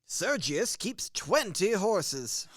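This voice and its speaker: A man's voice: sits high, big pitch swings, very slow, fairly bright tone, no echo, clean studio sound, exaggerated voice. A man playing a blustering, easily impressed middle-aged major and father in a radio comedy, exclaiming as he goes. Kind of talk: stage-comedy radio play acting.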